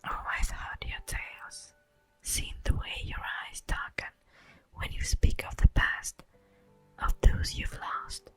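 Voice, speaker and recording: A woman playing an ancient, wistful immortal elf in a slow, breathy whispered ASMR roleplay monologue. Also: a bit bright. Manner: slow, theatrical, lingering pauses. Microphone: close microphone. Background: faint music.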